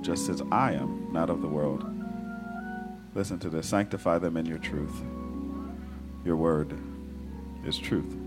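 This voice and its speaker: A pastor preaching a sermon in a hoarse, raspy voice, building energy and talking directly to his congregation. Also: sits low. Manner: preaching with rising call-and-response energy. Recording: sermon with organ music behind. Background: organ and instrumental music.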